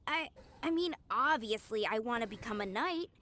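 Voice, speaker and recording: A woman voicing an eager young female knight trainee, full of bright enthusiasm. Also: on the high side, lively intonation, a little slow, a little hiss or hum.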